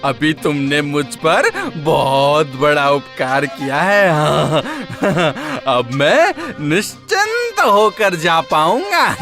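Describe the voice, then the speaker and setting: A woman's voice: low voice, very animated, over music, full character voice. A woman voicing a greedy, sly male shopkeeper in a cartoon moral story, muttering and repeating words in a wheedling way. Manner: drawn-out, repetitive muttering with sly chuckles.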